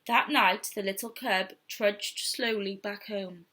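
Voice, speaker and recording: A woman reading a story aloud to young children in an expressive, theatrical storytelling style. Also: medium pitch, slow pace. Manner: theatrical, with whispers and sound effects.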